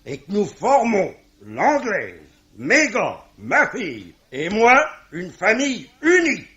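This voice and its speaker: A man's voice: sits high, wildly swooping pitch, takes it slowly, fairly bright tone, heavily acted. An actor playing a proud, pugnacious, good-hearted middle-aged Irish father, talking colloquially in a 1950s-style French radio drama. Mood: proud, excitable. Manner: colloquial, hesitant blustering. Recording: dull vintage radio recording.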